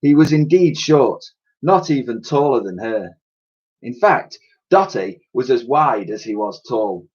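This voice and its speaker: Male storyteller, husky voice, widely varying pitch, children's book narration, slightly dull recording. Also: very animated, measured pace, a little mellow. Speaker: teacher reading aloud.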